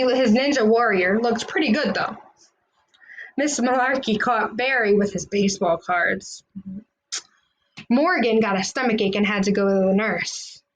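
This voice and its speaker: A woman's voice: on the high side, a bit bright, slight background noise, low-quality recording. A woman reading a children's storybook aloud, narrating expressively in the words of a school kid. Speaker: children's read-aloud host.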